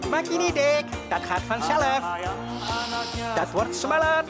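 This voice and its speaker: A man's voice: extremely high pitch, sing-song, slow pace, over loud music, exaggerated voice. A man voicing a cheeky, chatty male cooking host in a comedy sketch, exclaiming and teasing as he presents. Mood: gleeful, promotional. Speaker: comic cooking segment host.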